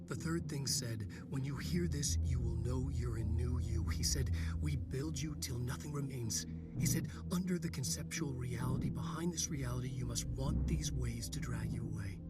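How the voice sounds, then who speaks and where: Actor as a brooding writer, distant under loud music. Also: male voice, medium pitch, lightly acted. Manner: intense, terse, fragmented delivery, often hushed. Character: brooding male writer narrating dark, cryptic horror prose. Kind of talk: dramatic literary monologue.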